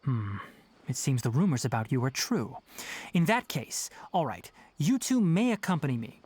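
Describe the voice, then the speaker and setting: An actor playing a calm, knowledgeable young forest ranger in a video game, speaking in a measured, instructive way with authority. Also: male voice, mid-range voice, breathy edge. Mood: serious, considered. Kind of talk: English video game voice acting.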